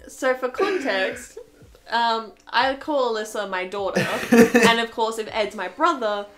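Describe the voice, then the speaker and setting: A woman's voice: on the high side, measured pace, crowd noise. A twenty-year-old woman hosting a casual podcast, rambling with frequent giggles and fillers. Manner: giggly, rambling, laughs often. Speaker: podcast host. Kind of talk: casual chat.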